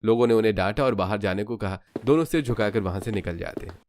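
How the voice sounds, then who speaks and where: A man's voice: on the low side, quick pace. A man narrating a cartoon story in a scripted storytelling style.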